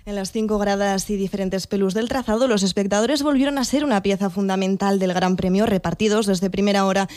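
Fast-reading woman news reporter. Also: mid-range voice, dry recording. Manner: brisk, even reporter's read.

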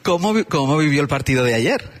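A man's voice: on the high side, animated, fairly quick. A man with a Castilian Spanish accent hosting a conversational radio interview. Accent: Spain, Castilian. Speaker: radio host.

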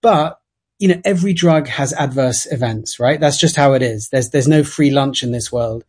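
A man's voice: mid-range voice, moderate pace, darkish tone, husky. A man from London with a British accent, an author answering interview questions thoughtfully, with stammering restarts. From London, England. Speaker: author, radio guest. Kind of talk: interview answers over a remote line.

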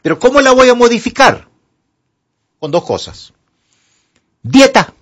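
A man's voice: extremely high pitch, sing-song, measured pace, fairly bright tone. A Honduran man speaking as a doctor, giving conversational advice emphatically and didactically, asking and answering his own questions. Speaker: doctor, studio guest answering health questions. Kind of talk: conversational medical advice for a broadcast audience. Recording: dull, lo-fi broadcast audio.

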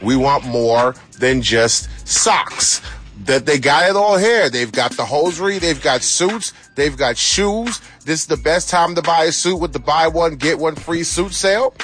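A man's voice: a little high, expressive, unhurried, fairly bright tone, faint music behind. A man doing a loose, slangy live radio ad from a store. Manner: hype, slangy, self-mocking. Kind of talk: loose, improvised promo.

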